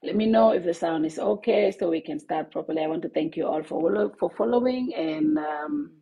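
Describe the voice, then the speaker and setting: A woman with a low, husky voice hosts a live stream for her online network from her office, halting often with fillers and restarts. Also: lively intonation, muffled recording. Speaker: host of an online news network. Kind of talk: casual live-stream address to followers. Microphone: Bluetooth headset.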